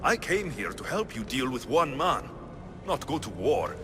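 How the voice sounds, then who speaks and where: Cocky greek mercenary hero, game cutscene, medium pitch but moves around a lot, roomy reverb. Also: male voice, sing-song, unhurried. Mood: questioning. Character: confident, wry male ancient Greek mercenary hero. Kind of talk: dramatic video game cutscene acting. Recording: reverberant hall, music behind.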